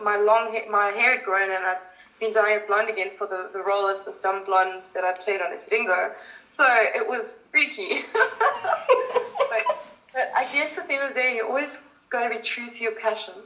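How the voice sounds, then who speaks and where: Woman, bright forceful tone, higher voice, bubbly, laughs a lot, interview guest. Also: steady tone, fairly quick. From South Africa. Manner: bubbly, laughs often, chatty with restarts. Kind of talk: casual storytelling interview. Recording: echoey room.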